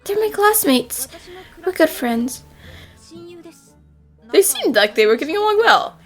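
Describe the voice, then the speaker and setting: A woman voicing youthful, expressive young women with a girlish manner in a cartoon fan dub. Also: high-pitched, unhurried, soft background music. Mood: subdued. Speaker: voice actor in amateur cartoon dub.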